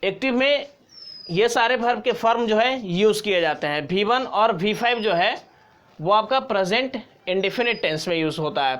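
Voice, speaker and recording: A man's voice: sits high, expressive, moderate pace, a bit bright. Guy doing an informal tutorial, teacher type, goes step by step and says key bits again. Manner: step-by-step, repeats key points. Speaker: grammar teacher.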